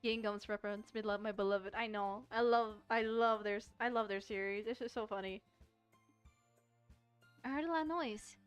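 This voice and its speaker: A woman's voice: mid-range voice, normal speed, clean tone, faint music behind. A woman streamer reading a visual novel aloud expressively, mixing dramatic narration with casual commentary and stumbling over words.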